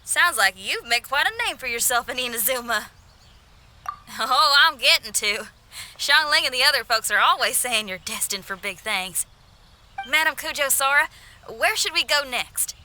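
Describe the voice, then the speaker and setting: Confident young adventurer, high and lively pitch, casual folksy phrasing, spirited game character, slight background noise. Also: female voice, normal speed, heavily acted. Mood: friendly, confident. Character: breezy, generous, confident young female adventurer. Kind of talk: video game voice acting.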